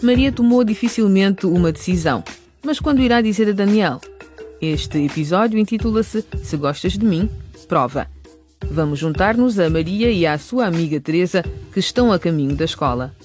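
A woman's voice: on the low side, expressive, moderate pace, darkish tone, soft background music. A woman narrating a radio drama from a script, close on the microphone.

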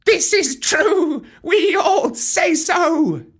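A reader voicing a mob of boastful, chattering monkeys in a high, shrill chant for an audiobook. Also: expressive, drawn-out words, heavily acted. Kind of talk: audiobook character voice.

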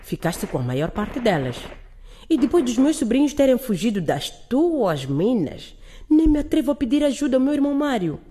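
A woman's voice: on the high side, big pitch swings, moderate pace, warm tone, husky. A woman playing an anxious, resentful aunt in a radio drama, complaining and insistent. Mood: bitter, demanding.

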